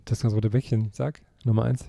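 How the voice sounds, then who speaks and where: A man's voice: medium pitch, little pitch movement, a little fast, vocal fry, dark tone. A man chatting casually as a co-host, teasing and prodding the others. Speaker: show co-host. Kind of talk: casual chat.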